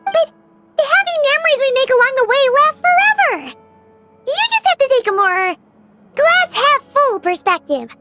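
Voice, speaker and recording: Over loud music, a tiny, childlike fairy sidekick from an anime game. The voice is extremely high and squeaky, and it bounces up and down with eager, earnest cheer. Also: female voice, normal speed. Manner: eager, chirpy, expressive. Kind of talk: anime-style video game voice acting.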